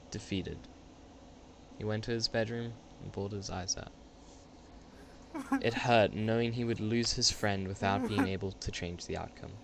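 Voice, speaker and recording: Low-voiced young man narrating, faint noise. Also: vocal fry, fairly bright tone. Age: young adult. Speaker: narrator of a student radio play. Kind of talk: scripted dramatic narration.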